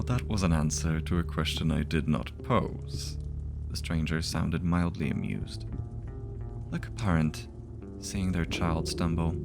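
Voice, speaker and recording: A man reading a scripted fantasy-horror story in a measured, literary way, with music behind him. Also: a bass voice, creaky. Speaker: author reading their own story. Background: music bed.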